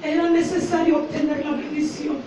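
A woman's voice: high voice, little pitch movement, brisk, far from the mic, a crowd in the background. A middle-aged woman preaching a fervent Pentecostal sermon in a declamatory way, punctuated with repeated hallelujahs. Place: small church hall, indoors. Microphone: handheld wired microphone through speakers, filmed from a distance. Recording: distorted, echoing church sound, recorded from afar.

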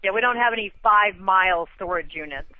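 A woman's voice: mid-range voice, fast, bright, forceful tone. An American woman working as a customer service agent, businesslike and matter-of-fact, heard over a telephone line. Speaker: storage rental phone agent. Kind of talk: customer service phone call.

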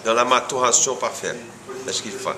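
A man's voice: medium pitch, a crowd in the background. A man in his forties with a Brazilian accent, searching for words and repeating them as he explains things in an informal interview. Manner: halting, word-searching non-native French with repeated words. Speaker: coffee farm owner being interviewed. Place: indoor room, seated before camera. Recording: clearly noisy room ambience.

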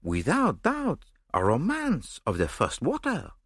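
A man voicing a fussy, mocking male Belgian detective, dry and precise, in a lively sing-song. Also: medium pitch, moderate pace, no room sound, voice pushed up.

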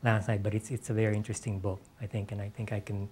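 A man's voice: fairly low pitch, flat delivery, quick pace, dark tone, slight background noise. A middle-aged man, a novelist, answering casually in an onstage interview, hesitantly and often repeating the first words of a phrase. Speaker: novelist, guest. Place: on stage in an auditorium before an audience.

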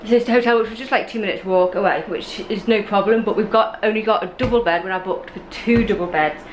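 A woman's voice: medium pitch, fast talker. A woman in her thirties with an English accent, chatting casually and a bit meanderingly to a handheld camera as a travel vlogger. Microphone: camera held at arm's length. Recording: noisy vlog audio with traffic and ambience.

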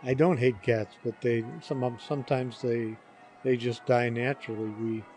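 An older man answers questions as a guest, in a medium-pitched voice. He talks haltingly and casually with dry humour, over faint music. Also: fairly even intonation, darkish tone. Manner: halting, dryly joking. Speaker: interview guest, father of the hosts.

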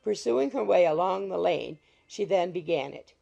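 A woman reading scripted literary narration from a classic novel as an audiobook narrator, in a husky, creaky voice. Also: on the low side, animated, normal speed, mellow, highs cut off. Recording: music behind the voice. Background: music.

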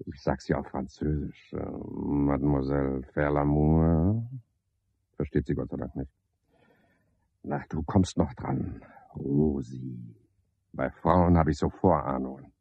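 An actor playing a cynical, scheming night porter who talks to himself in a sardonic, pedantic way in a radio play monologue. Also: male voice, rumbling low, breathy edge, dry recording, full character voice. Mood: sly. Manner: sardonic, pedantic, swinging from muttering to outbursts. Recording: dull, lo-fi, dry studio radio recording.

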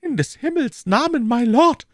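A man's voice: high voice, sing-song, fairly quick, husky, no echo, clean studio sound, voice pushed up, exaggerated voice. A man voicing an anxious, reproachful young woman in an audiobook. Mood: alarmed. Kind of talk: audiobook character acting.

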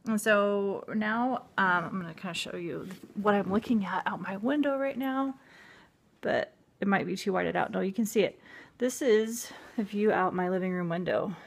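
A woman's voice: medium pitch, normal speed, vocal fry. An American woman in her thirties talking casually and a little hesitantly in a personal vlog, recorded on a phone at home. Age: 30s. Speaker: vlogger. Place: indoors at home, living room. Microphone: handheld phone at arm's length.

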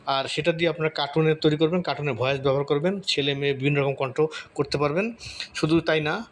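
Male tutorial host, slightly high pitch, informal explanatory delivery over some background noise. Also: quick pace. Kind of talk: informal step-by-step screen tutorial. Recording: clearly audible background noise.

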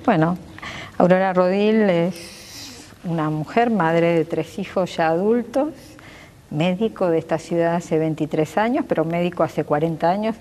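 A woman's voice: fairly low pitch, measured pace, warm tone, big-room sound. A woman in her sixties with an Argentine accent, answering interview questions as a doctor and local politician, earnest and fluent. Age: 60s. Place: glass-walled pavilion with garden behind.

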